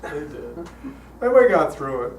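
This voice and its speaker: An older man speaking as a guest at a church, telling stories in a relaxed, chatty way with frequent fillers, his voice calm. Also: fairly high pitch, measured pace. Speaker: guest speaker leading a guided meditation. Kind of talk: storytelling talk to a congregation. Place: church hall. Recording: echoey hall, heard from a distance, noisy.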